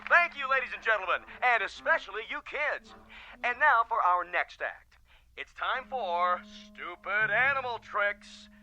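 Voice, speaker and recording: An actor playing a manic television emcee and spooky horror host in a radio drama, theatrical and hammy with stretched-out words. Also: male voice, high-pitched, animated, measured pace, sharp tone, exaggerated voice. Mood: gleeful showmanship. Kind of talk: old-time TV presenter parody in radio drama.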